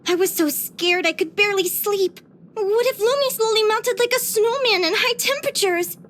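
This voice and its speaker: Game casting: young female naturalist-explorer, very high voice, cheerful, earnest, full of wonder. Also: normal speed, fairly bright tone, slight background noise. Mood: eager, animated. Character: cheerful, curious young woman adventurer and naturalist. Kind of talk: video game character voice lines.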